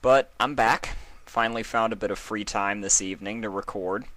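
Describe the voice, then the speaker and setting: A man reading fan fiction aloud as narrator, in an expressive storytelling style. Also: on the low side, moderate pace, no room sound.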